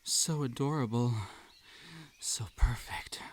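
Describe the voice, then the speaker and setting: An obsessive male bunny spirit acted by a man speaks in a slow, hypnotic coax, soothing yet desperate. It is a roleplay audio drama, with birdsong and insects faintly behind the voice. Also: medium pitch, slight background noise, lightly acted. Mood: soft, adoring. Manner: slow, soothing, coaxing delivery. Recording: nature ambience behind the voice.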